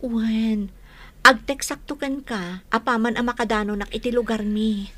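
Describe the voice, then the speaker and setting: Gentle young sweetheart in a radio play: high-pitched, slow, sweet. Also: female voice, fairly even intonation.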